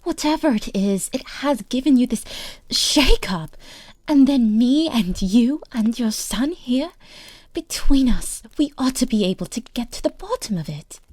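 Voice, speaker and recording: A woman's voice: on the high side, expressive, measured pace, a little mellow, no echo. A woman playing a sensible, kindly shepherd's wife with soothing, homely country speech in an amateur audiobook drama.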